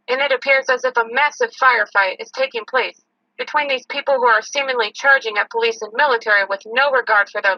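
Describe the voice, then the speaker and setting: A woman's voice: fairly high pitch, sharp tone, dry recording, lo-fi sound. A woman playing an urgent, alarmed TV field reporter giving a live report in an audio drama. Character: female TV field reporter in a news helicopter, alarmed. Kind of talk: acted live news report.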